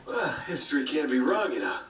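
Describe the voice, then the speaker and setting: A man's voice: a little high, big pitch swings, a little fast, far from the mic, noisy background. An actor playing a cocky, wisecracking male treasure hunter in a video game, with a wry, casual manner. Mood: teasing. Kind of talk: cinematic video game acting. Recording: roomy game audio under loud music.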